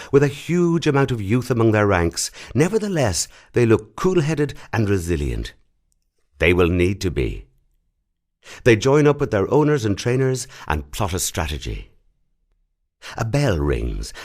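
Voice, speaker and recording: A man narrates a piece of literary fiction in a low-pitched voice. His intonation is highly animated, rising and dropping widely across phrases. The delivery is measured and dramatic, like a practised storyteller reading from a script in a studio. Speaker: actor narrating a short story. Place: audio recording studio.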